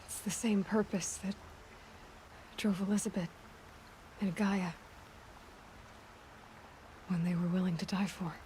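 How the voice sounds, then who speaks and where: Hushed young warrior woman, played by an actress, ambience behind. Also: medium pitch, steady tone, slight background noise, close to an everyday voice, poor audio. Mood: solemn resolve. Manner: hushed, breathy, halting delivery. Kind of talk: cinematic video game acting.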